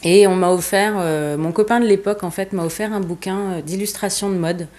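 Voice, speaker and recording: A woman's voice: mid-range voice, fairly even intonation, brisk, a little mellow. A young woman from eastern France, a fashion designer being interviewed, who speaks casually with many hesitations and fillers.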